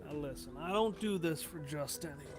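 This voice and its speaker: A man's voice: fairly high pitch, normal speed, music in the background, lightly acted. A man voicing a shady, weary stranger who confides like a conspirator in a tabletop game. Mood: sly, confiding.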